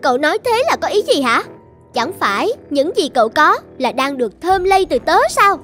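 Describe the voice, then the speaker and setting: A woman voicing a haughty, boastful iron jar in an animated fairy tale, talking scornfully. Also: high-pitched, moderate pace, faint music behind.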